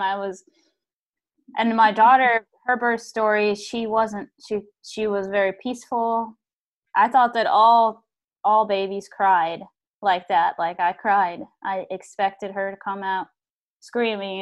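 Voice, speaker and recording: A woman hosting a podcast, talking in a lively, chatty way and laughing often. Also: mid-range voice. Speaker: podcast host. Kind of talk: casual podcast conversation.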